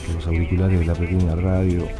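A man's voice: deep voice, little pitch movement, quick pace, lightly acted. A man with a Rioplatense Argentine accent acting a troubled, working-class factory worker in a radio drama, slangy and reflective. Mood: brooding, reflective. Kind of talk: radio drama acting and first-person narration.

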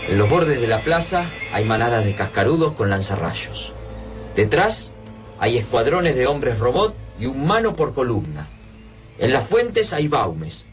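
A man with an Argentine accent playing a thoughtful, analytical survivor in a radio drama. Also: mid-range voice. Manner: observant, reflective. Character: thoughtful, analytical male survivor studying invaders. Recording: distant mic, music underneath. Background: music.

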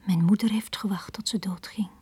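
An actress playing a lonely, pleading young woman, frightened yet proud, with a breathy, husky voice and a fragile intensity in a radio drama. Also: medium pitch, fairly even intonation, brisk, dark tone. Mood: wistful. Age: young adult.

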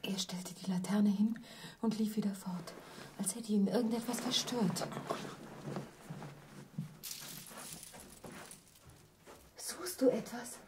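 An actress playing an anxious, tender lover in a radio play, speaking in a hushed, hesitant way. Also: female voice, mid-range voice, moderate pace, lightly acted. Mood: hushed, concerned. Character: anxious, tender young woman, lover awake at night. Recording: distant mic, faint noise.

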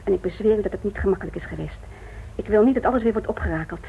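A woman's voice: medium pitch, very fast, creaky, natural voice. A woman playing a middle-aged mother in a radio play, guarded and dramatic, who wants to be left in peace. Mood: pained, weary. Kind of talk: Dutch radio play acting. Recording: tinny narrow-band old radio recording, hiss.

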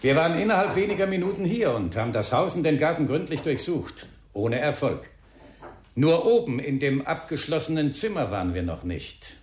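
An actor in a German radio crime drama playing a calm, persistent police inspector, polite and matter-of-fact. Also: male voice, on the high side, normal speed, a little hiss or hum. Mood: polite, insistent.

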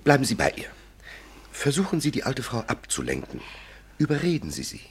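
A man's voice: medium pitch, wildly swooping pitch, a little fast, slightly rough, heavily acted. A man playing a polite French marquis in a radio drama, insistent and coaxing. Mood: urgent, coaxing.

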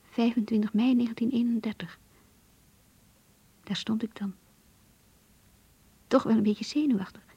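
A woman's voice: on the high side, steady tone, measured pace, pauses often, dry recording, lo-fi sound, exaggerated voice. An actress playing a young woman in love, reading her diary in a radio drama, intimate, yearning and earnest. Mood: nervous, expectant. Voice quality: breathy, whispery. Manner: intimate, hushed, confiding.

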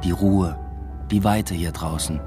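A man's voice: low voice, fairly even intonation, measured pace. An actor playing a brooding academic father who narrates his own story in a radio drama, subdued and introspective. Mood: subdued, pensive. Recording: music bed behind the voice.

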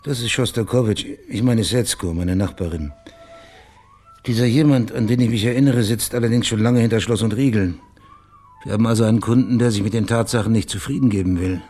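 Low-voiced, sardonic private detective. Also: male voice, fairly quick, darkish tone, breathy edge, faint music behind. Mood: wry, probing. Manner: laconic, wry, probing questions. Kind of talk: German radio crime drama acting.

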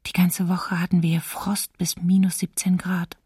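A woman playing a young student reading her wartime diary in a radio docudrama, wistful and restrained, with a breathy voice. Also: fairly low pitch, level tone, unhurried, a little mellow, no echo, studio quality, distant mic. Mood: wistful wishes. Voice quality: breathy, husky.

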